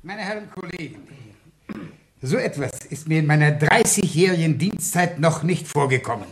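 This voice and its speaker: An actor playing a stern, indignant older headmaster in a German radio play, pompous and formal in manner. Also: male voice, fairly high pitch, animated, normal speed. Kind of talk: German radio drama acting.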